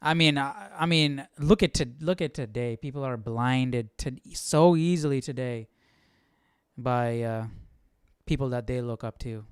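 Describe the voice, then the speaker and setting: A man's voice: medium pitch, measured pace, clear, resonant tone, dry recording. A man hosting a livestream study, thinking aloud in a casual, questioning way. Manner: questioning, musing. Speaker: host of Bible study livestream.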